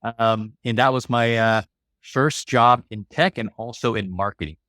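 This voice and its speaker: A man's voice: mid-range voice, steady tone, unhurried, a bit bright, no echo, studio quality. A man in his forties with an American accent, telling his life story as a podcast guest, casual and rambling with many fillers. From California.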